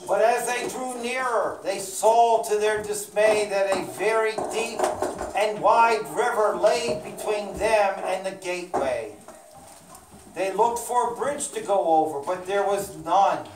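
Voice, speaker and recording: A woman's voice: medium pitch, unhurried. A woman narrating a children's storybook for a live puppet show. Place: indoor church or hall room with puppet stage. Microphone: camera at a distance. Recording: roomy, distant mic, clear background noise.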